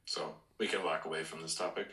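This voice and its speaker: Deep, flat-toned man in a roomy space. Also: quick pace. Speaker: podcast co-host. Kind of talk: casual chat. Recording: roomy, echoey sound.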